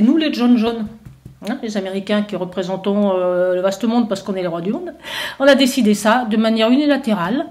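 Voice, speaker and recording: A woman's voice: a little high, darkish tone, lightly acted. A woman voicing an arrogant, bullying American envoy who lectures in a self-assured, emphatic way. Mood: threatening.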